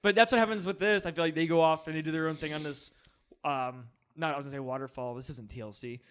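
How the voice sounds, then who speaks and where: A man's voice: fairly high pitch, steady tone, fast talker, a bit bright, clear, resonant tone. A man co-hosting a film podcast, discussing movies in a casual, conversational way.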